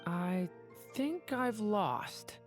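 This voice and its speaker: A woman playing a gentle young dragon boy in a video game, speaking politely, thoughtfully and sincerely. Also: mid-range voice, lively intonation, very slow. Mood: resigned. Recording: faint background music.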